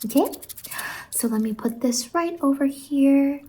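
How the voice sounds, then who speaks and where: A soft-spoken woman doing an ASMR roleplay as a salon worker, soothing and reassuring, often slipping into a whisper. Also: high voice, unhurried, slight background noise.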